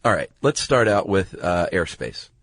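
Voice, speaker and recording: Male podcast co-host with a deep voice, laid-back colloquial explainer, recorded close and dry. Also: big pitch swings, moderate pace, no room sound. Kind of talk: casual explanatory chat.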